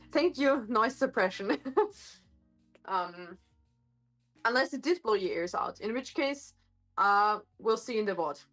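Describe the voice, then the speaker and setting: Woman with a slightly gruff voice, rambling. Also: fairly high pitch, lively intonation, takes it slowly, long pauses, a bit bright, faint music behind. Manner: rambling, many fillers and self-corrections. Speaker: streamer. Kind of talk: casual live-stream chat. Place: home kitchen with hard countertops. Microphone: phone microphone.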